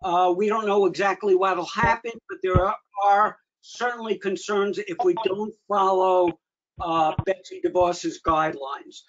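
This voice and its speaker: High-pitched man giving a halting briefing. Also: steady tone, slight background noise. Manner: halting, with frequent pauses mid-sentence. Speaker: meeting host, association official giving updates. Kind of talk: informal spoken briefing to an online meeting. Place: remote video meeting. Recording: dull lo-fi online meeting audio.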